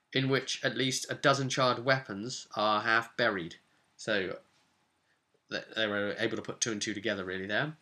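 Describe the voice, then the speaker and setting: Bright-voiced man recapping a game. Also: medium pitch. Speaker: tabletop game master recapping a campaign session. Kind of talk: informal storytelling recap to camera.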